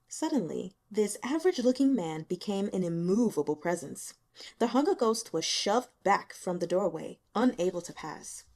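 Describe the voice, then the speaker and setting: A woman reading a story aloud as dramatic, expressive scripted narration. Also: medium pitch. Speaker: audiobook reader.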